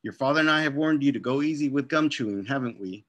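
A man voicing a gentle but firm mother who soothes and scolds her daughter, over a video call. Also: mid-range voice, fast talker, lo-fi sound, lightly acted.

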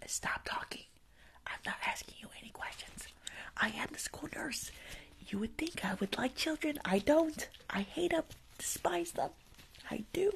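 A young woman mostly whispers her way through an ASMR roleplay as a grumpy school nurse. Her breathy voice has a crackly edge and sits on the high side. She is blunt and tends to repeat herself, close up to the microphone. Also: sing-song, unhurried, a bit bright. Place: small indoor room.

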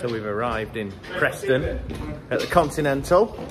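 A man's voice: medium pitch, expressive, moderate pace, loud music behind the voice. A man in his twenties vlogging casually to a handheld camera while on tour as a musician, chatty and jokey. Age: 20s. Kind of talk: casual vlog narration. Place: van, hotel room and small venue. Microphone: phone or camera on a handheld grip. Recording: noisy handheld phone audio.

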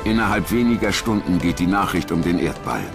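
A man narrating a documentary from a script in a grave, measured, dramatic storytelling manner. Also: deep voice, lively intonation. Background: background music.

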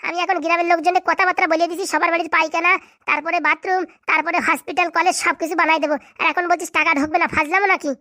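A woman performs a domineering cartoon madam with a high, dry-sounding voice. She scolds and blusters very quickly in a rural Bengali accent, quick to anger and full of command. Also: steady tone, sharp tone, no echo. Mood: outraged. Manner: scolding, blustering, insult-laden. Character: bossy, quick-tempered madam, scolding and cursing. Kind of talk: comic cartoon dialogue.

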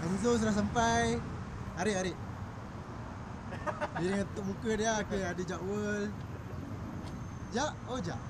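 A man's voice: sits high, unhurried, long pauses. A man with a Malaysian accent chatting casually with friends for a vlog. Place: outdoors, crowded car park. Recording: very noisy outdoor vlog recording.

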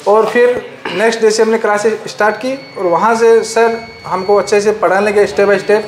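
A young male trainee gives a testimonial. His voice is notably high for a man. The indoor workshop adds clear echo. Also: fairly even intonation, normal speed, a little hiss or hum. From Rajasthan, India. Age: young adult. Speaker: technical trainee. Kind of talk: student testimonial, recounting his experience. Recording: echoey room sound.

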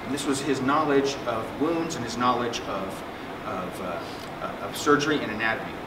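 A man's voice: medium pitch, normal speed. Middle-aged guy giving a talk, jokey and casual with lots of uhs, far off mic in a big echoey room. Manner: conversational, joking, frequent fillers and restarts. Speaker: psychiatrist presenting at a symposium. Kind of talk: conference talk. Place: conference room with projector screen. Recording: echoey room, distant mic, air conditioning hum.

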